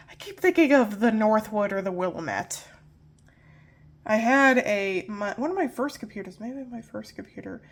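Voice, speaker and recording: Nasal young woman rambling about computers. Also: medium pitch. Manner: rambling, self-correcting, apologetic. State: nasal from pollen allergies. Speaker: tech video host. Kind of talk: casual hardware walkthrough.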